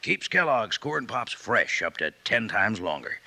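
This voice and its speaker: Old radio ad guy, folksy sing-song, swoops all over, gravelly and bright. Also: on the low side, very animated, a little slow. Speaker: announcer. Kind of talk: 1950s sponsor advertisement. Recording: old radio broadcast recording.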